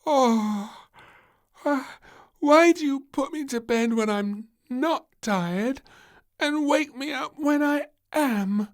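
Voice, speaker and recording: A man's voice: very high voice, slow pace, voice pushed up, full character voice. A man voicing an indignant, stubborn little princess, a whiny and demanding small girl in a storybook. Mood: sleepy, grumpy.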